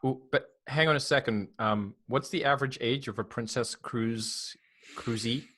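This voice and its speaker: A man's voice: mid-range voice, normal speed, fairly bright tone. A man co-hosting a podcast with casual, joking banter and interjections. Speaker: podcast co-host. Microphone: headset or headphones setup.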